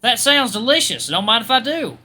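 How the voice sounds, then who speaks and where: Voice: medium pitch, sing-song, fairly quick, pushed and bright, soft background music, voice pushed up. A performer voicing a proud, polite young male Australian resident assistant in a jaunty manner. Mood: pleased.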